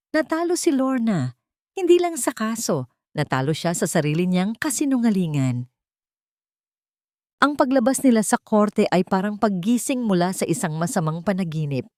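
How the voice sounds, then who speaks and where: A woman narrates a dramatic scripted story in a slightly low voice. The recording is very dry, with little sense of a room. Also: darkish tone, no room sound.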